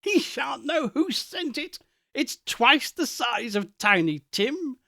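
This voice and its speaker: A man's voice: very high voice, big pitch swings, slow pace, vocal fry, bright, forceful tone, no echo, studio quality, voice pushed up, full character voice. A man voicing an overjoyed reformed old miser in a storybook reading, exclamatory and full of childlike glee. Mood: gleeful. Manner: exclamatory, gleeful, whooping.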